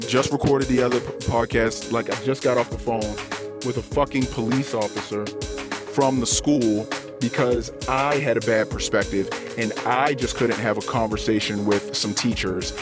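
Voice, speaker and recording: A man's voice: mid-range voice, steady tone, normal speed. A middle-aged man hosting a podcast, delivering an unscripted personal rant with emphatic, repetitive phrasing and swearing. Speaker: podcast host. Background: music.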